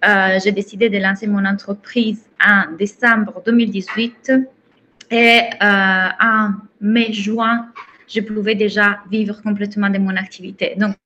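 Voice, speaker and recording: A woman from Italy with an Italian accent, a coach speaking slowly and haltingly in a casual interview, with many restarts. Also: medium pitch, little pitch movement, sharp tone, lo-fi sound, a little hiss or hum. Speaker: business and life coach, guest.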